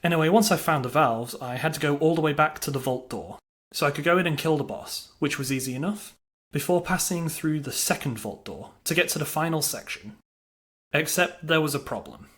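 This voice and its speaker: A man's voice: fairly high pitch, a little fast, a bit bright. A man delivering scripted narration for a video game review, with a wry, dryly humorous manner.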